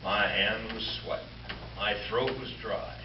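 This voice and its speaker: A man playing a wry comedian who narrates a mock western as its hero, deadpan with dramatic pauses, in an old-time radio comedy staged live. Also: on the low side. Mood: tense, solemn. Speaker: amateur radio-show player. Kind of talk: 1950s radio comedy re-creation, narrated monologue. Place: live stage show before an audience. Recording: echoey, noisy hall from far off, muffled.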